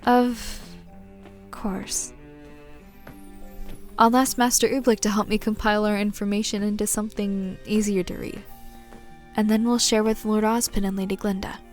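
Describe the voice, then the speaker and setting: A woman acting a serious, skeptical delegate in a fan dub, speaking in a measured, frank manner. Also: medium pitch, flat delivery, soft background music, close to an everyday voice. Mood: reserved.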